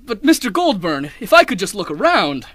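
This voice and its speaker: A young man playing a hard-boiled, wry detective who narrates a 1930s noir radio drama in a deadpan way. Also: high-pitched, normal speed, no room sound, exaggerated voice. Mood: pushy. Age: young adult. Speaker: narrator and lead actor.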